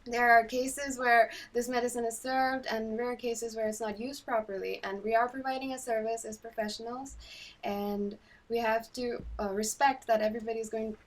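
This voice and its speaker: Woman from Bangladesh, fairly high voice, hesitant personal sharing, distant mic on a group call. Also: fairly even intonation, moderate pace. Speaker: group participant.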